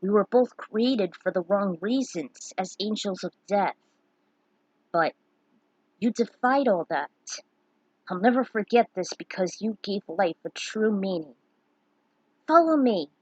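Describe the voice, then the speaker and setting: A woman's voice: medium pitch, lively intonation, unhurried, long pauses, darkish tone, slightly rough, no echo, poor audio. A woman voicing a serene, grateful goddess who speaks solemnly in a fantasy story.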